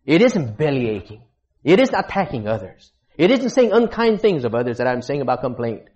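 A man from Texas preaching a sermon in a church as a missionary, halting and restarting as he searches for words. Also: medium pitch, sing-song, a little mellow, dull audio.

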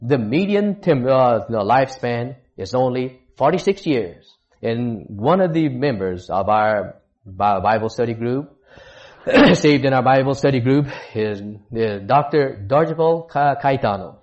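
Halting preacher from Texas, lo-fi tape. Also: male voice, medium pitch, expressive, darkish tone. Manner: halting, with frequent restarts and searching for words. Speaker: missionary preacher. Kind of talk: sermon. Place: church.

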